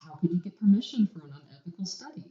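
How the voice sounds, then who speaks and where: A woman podcast co-host in casual chat, animated and reactive, swearing casually, recorded with a dull sound in an echoey room. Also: mid-range voice, very fast.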